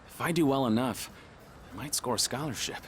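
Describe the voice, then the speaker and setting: An actor playing a casual, chatty, sincere teenage student athlete in a video game. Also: male voice, medium pitch, expressive, a little fast, noisy background, close to an everyday voice. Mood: friendly, upbeat.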